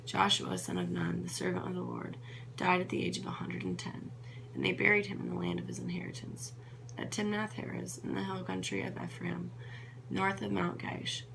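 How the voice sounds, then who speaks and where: Woman, scripture reader, heavy vocal fry, trips on names and restarts, noisy hum behind. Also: on the low side, little pitch movement, normal speed. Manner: stumbles over names and corrects herself. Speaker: Bible reader. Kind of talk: reading scripture aloud.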